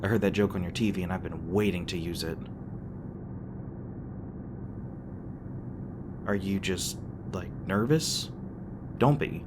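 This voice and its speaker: A man's voice: deep voice, moderate pace, natural voice. A man playing a teasing, crass but caring demon boyfriend in a conversational audio roleplay, talking casually with some hesitation. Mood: gentle, reassuring. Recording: clearly noisy background with ambient sound effects.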